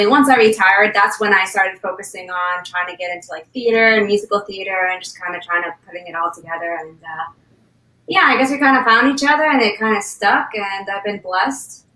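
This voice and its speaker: Bright-voiced woman in a roomy space. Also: medium pitch, distant mic. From Massachusetts. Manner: relaxed, says kinda and like often. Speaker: interview guest, dancer and performer. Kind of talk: casual interview answers. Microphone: video call. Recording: roomy sound, away from the mic.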